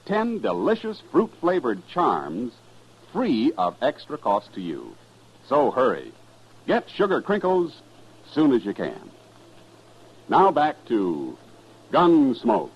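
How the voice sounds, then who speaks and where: A man's voice: mid-range voice, wildly swooping pitch, takes it slowly, pauses often, husky. A man announcing a 1950s radio commercial as a folksy, enthusiastic salesman. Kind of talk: 1950s radio advertising pitch. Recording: dull vintage radio recording.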